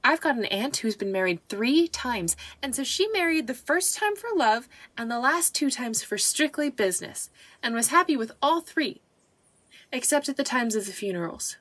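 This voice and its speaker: Gushing teenage country maid, acted by a woman, high and chatty, close dry sound, dramatised storybook. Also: very animated, normal speed, fairly bright tone, no echo. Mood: earnest, confiding. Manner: gushing, folksy rustic grammar, deferential. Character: excitable, chatty fifteen-year-old country servant girl, adoring and earnest. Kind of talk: dramatised audiobook acting.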